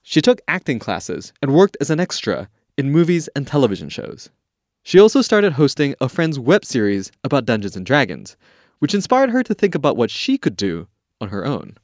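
A man's voice: mid-range voice, huge pitch swings, moderate pace, dry recording, clean studio sound. A man hosting a podcast and reading scripted documentary narration.

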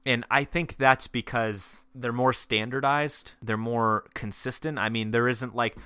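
A young man co-hosting a casual podcast, chatty and teasing, quick to laugh. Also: medium pitch, steady tone, bright, forceful tone, clean tone, dry recording. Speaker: podcast co-host. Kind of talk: casual chat.

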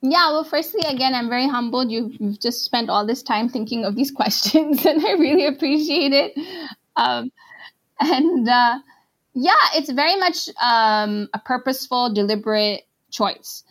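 A woman's voice: on the high side, animated, moderate pace. A woman from Pakistan, a translator guest in an interview, who talks in a chatty, casual way full of fillers. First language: not Arabic. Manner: chatty, full of "like" and "you know".

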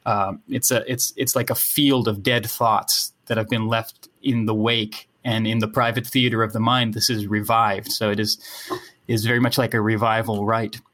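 A man's voice: fairly low pitch, flat delivery, moderate pace, a bit bright. Man musing abstractly. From Netherlands. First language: Dutch. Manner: musing, abstract, many restarts. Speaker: podcast guest, writer. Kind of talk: casual podcast conversation.

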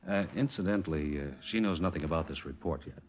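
An actor playing an earnest, helpful insurance company official in a 1950s radio drama, speaking deliberately with small hesitations. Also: male voice, extremely low pitch. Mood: earnest, businesslike. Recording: dull, hissy vintage radio recording.

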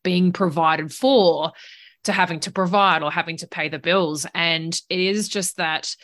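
A woman with a low voice speaks earnestly and encouragingly. She often checks in with "right?" as she chats casually. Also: fairly even intonation, measured pace, a bit bright. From small town in Australia or New Zealand. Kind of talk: casual conversation.

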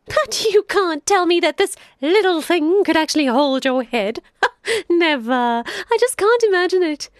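A woman pitches her voice up high to play a sly jackal trickster who flatters and chuckles through every line. His voice leaps high and bounces all over the place. The sound is close and dry. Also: no echo. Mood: sly flattering. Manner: fawning, chuckling, quick chatter.

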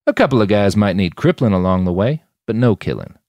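A man's voice: fairly low pitch, animated, fairly quick, a little mellow, no echo. A man voicing a sly, cynical, foul-mouthed mercenary boss in audiobook dialogue, with a blunt, scoffing manner. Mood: persuasive.

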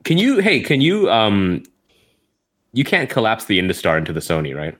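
A man in a casual chat, animated and quick to react with interest. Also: on the low side.

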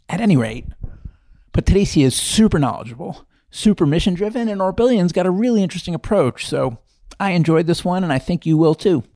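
A man's voice: fairly high pitch, creaky, a little mellow, no echo. Upbeat podcast host man, dry close sound. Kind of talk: conversational podcast interview.

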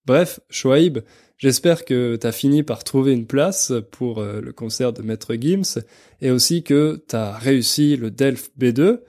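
French man with a very mellow voice. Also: mid-range voice, slow pace. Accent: French, from France. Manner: didactic, explains words for learners. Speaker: podcast host and French teacher. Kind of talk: podcast presentation to language learners, clearly explained.

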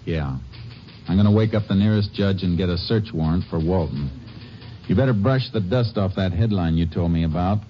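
A man playing a deep, steady lawman. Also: normal speed, slight background noise, natural voice. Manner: calm, firm, dryly sardonic. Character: steady, dry-witted male Texas Ranger. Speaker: narrator and lead character. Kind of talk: 1950s radio drama acting. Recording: muffled old radio broadcast.

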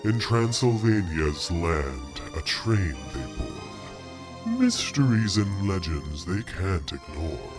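A man's voice: low voice, huge pitch swings, slow, music in the background, exaggerated voice. A man playing an ominous storyteller narrating a Halloween horror tale in theatrical, foreboding rhyme. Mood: ominous, welcoming. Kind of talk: rhymed spooky audio drama narration.

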